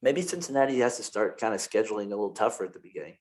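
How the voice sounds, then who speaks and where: A man hosts a sports podcast in a loose, rambling way, full of fillers and self-corrections. His voice sits low. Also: animated, rapid-fire, darkish tone. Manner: rambling, many fillers and restarts. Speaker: podcast host. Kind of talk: casual sports podcast chat.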